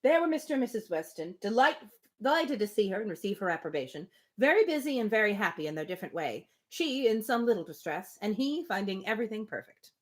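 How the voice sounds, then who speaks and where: A woman reads a period novel aloud to listeners. Her medium-pitched voice is very animated, moving easily between narration and casual comments. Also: quick pace. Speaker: live book reader. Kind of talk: expressive novel reading with casual asides.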